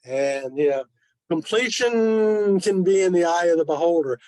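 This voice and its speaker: A man's voice: high voice, unhurried, mellow, dry recording. A man explaining informally on a video call, with dry joking asides. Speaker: club member presenting. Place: online video meeting.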